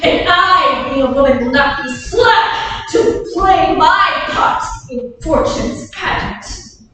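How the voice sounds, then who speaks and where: A woman's voice: sits high, lively intonation, slow, fairly bright tone, a little hiss or hum, exaggerated voice. A woman playing an ambitious scheming duchess, echoing hall. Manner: declamatory, sing-song verse delivery. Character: ambitious scheming duchess, coaxing her husband. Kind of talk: staged Shakespeare reading. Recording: echoey hall from far off.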